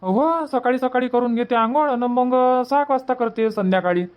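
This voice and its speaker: A man's voice: very high-pitched, normal speed, clear, resonant tone, muffled recording. A man playing an easygoing, obliging village uncle in a cartoon comedy, chatting with a Varhadi Marathi accent. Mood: casual.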